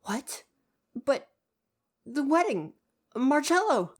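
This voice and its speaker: A woman's voice: sits high, big pitch swings, takes it slowly, heavily acted. A woman playing a devoted royal butler in an ASMR roleplay, speaking in a formal, deferential and precise way with parental care. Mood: alarmed, urgent.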